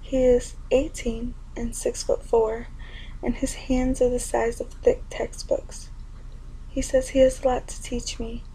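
Young woman whispering her poems, kinda gravelly, flat even delivery. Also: fairly high pitch, measured pace, a little hiss or hum, low-quality recording. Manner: intimate, often whispered delivery. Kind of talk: spoken word poetry performance.

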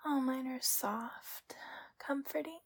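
A breathy, high-voiced temptress of a spirit, acted out by a woman in an intimate adult audio roleplay. She speaks slowly and teasingly, often slipping into a whisper, with sultry, playful hesitations. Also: heavily acted.

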